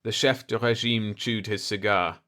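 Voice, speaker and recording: A man's voice: lowish voice, steady tone, measured pace, pushed and bright. A man reading scripted science fiction narration as an audiobook narrator.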